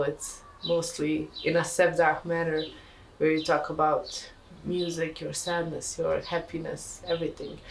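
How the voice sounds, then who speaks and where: A young woman from Bosnia and Herzegovina with a Bosnian accent, a student musician speaking earnestly in a documentary interview. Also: lowish voice. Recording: distant mic, faint ambient noise.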